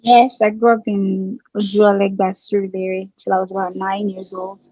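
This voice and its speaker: A Nigerian woman in her thirties, a singer chatting casually in an interview, relaxed and quick to laugh, her sentences trailing off softly. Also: medium pitch, no room sound, dull audio.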